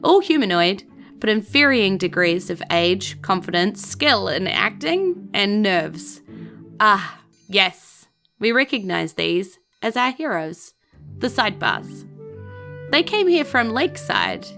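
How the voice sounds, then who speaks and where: A woman narrating a scripted fantasy audio drama in a deliberate, portentous storytelling manner. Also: medium pitch, sing-song, a bit bright, faint music behind. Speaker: game master narrator.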